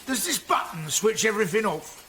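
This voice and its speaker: An actor playing a gruff, greedy, bullying male chief inspector in a radio drama, rude and blunt. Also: high voice, very animated, a little fast, background noise. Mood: menacing.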